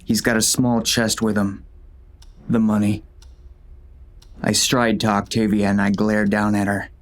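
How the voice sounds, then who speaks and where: A man's voice: fairly low pitch, completely flat delivery, measured pace, a little hiss or hum, lightly acted. An actor playing a hard-bitten western sheriff and grieving, furious father, narrating a dramatic audiobook in an intense, menacing way. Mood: grim.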